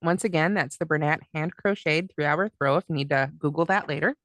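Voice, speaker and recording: Woman crochet instructor, friendly step-by-step demo, lowish voice, dry close sound. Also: a little fast, a bit bright, no room sound. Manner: friendly, step-by-step, talks while demonstrating by hand. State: losing her voice, coughing. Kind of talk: live online craft class demonstration.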